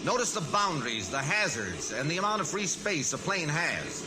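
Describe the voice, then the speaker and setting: An actor playing an earnest, businesslike insurance adjuster in a 1940s radio drama, speaking in a deliberate, insistent way. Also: male voice, a little high, very animated. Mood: brisk, instructive. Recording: noisy vintage 1940s radio recording.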